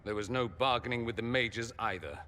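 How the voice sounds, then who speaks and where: A man's voice: medium pitch, a little fast, pushed and bright, lightly acted. An actor playing a dry-witted male mage who speaks in an arch, sardonic way, in a video game cutscene. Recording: long hall reverb.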